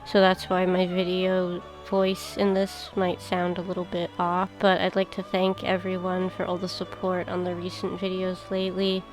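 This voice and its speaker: A woman hosting a history channel, reading a prepared script in a steady, measured way while still getting over a cold. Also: fairly low pitch, soft background music. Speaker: host of a history video channel.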